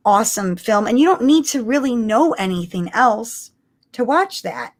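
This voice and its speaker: A woman's voice: on the high side, lively intonation, moderate pace. Female podcast co-host, casual live fan chat, eager and emphatic delivery.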